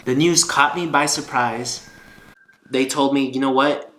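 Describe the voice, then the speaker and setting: A man's voice: medium pitch, steady tone, a little slow, pushed and bright. A man vlogging in a casual, conversational and earnest way, telling a personal story. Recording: roomy echo, faint guitar music behind.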